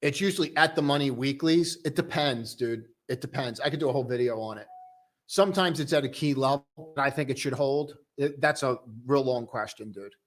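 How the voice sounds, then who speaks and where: A young man casually hosting a live stock-trading stream, chatting loosely and joking with viewers in a relaxed, tired way. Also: medium pitch, a little fast. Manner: loose, joking, full of restarts and "dude". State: tired, low on coffee.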